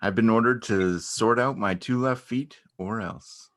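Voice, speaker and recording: Low-pitched male actor as a shy beekeeper; halting, understated, earnest stage dialogue. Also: fairly bright tone. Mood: nervous, polite. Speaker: amateur or local theatre actor. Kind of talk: naturalistic dramatic reading of a stage dialogue.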